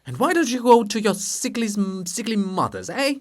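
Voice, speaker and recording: Man acting a bellowing, indignant old monument, voice swinging high. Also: very animated, moderate pace, heavily acted. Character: indignant old male monument of a poet, foul-mouthed and menacing. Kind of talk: dramatic play reading.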